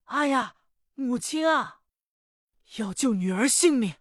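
A woman's voice: mid-range voice, lively intonation, a little slow, dry recording, clean studio sound, voice pushed up, close to an everyday voice. A woman voicing a frightened, tearful young noble lady who pleads with wailing cries in a traditional storytelling performance. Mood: panicked.

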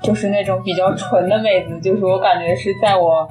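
A woman talks as a podcast co-host. Her pitch is average for a woman, and she retells things in a loose, conversational way. Music plays behind her voice. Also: fairly even intonation, brisk, darkish tone, poor audio. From Shanghai, China. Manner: conversational, retells scenes with quoted dialogue. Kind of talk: casual chat about a film. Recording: music playing behind the talk. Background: background music.

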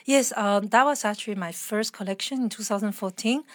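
A woman with a mixed international accent talking as an interview guest, reflective, earnest and expressive in a conversational style. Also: mid-range voice, a little fast, dry recording.

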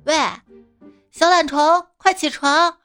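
A woman's voice: very high voice, steady tone, takes it slowly, pushed and bright, exaggerated voice. A woman playing a playful, teasing mother who coaxes a stubborn child in a comic bedtime sketch. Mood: fond, amused. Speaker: storyteller and performer. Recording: music behind the voice.

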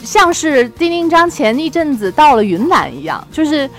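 一位女性脱口秀主播，随意聊天，说话热情夸赞、表情丰富、爱笑。. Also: female voice, high-pitched, moderate pace, soft background music. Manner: gushing, giggly, expressive. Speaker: podcast co-host. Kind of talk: casual banter on a talk show.

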